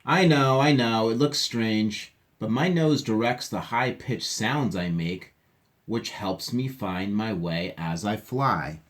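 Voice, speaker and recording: A man's voice: fairly low pitch, lively intonation, slow pace. A man voicing a leaf-nosed bat from a children's picture book, explaining itself patiently.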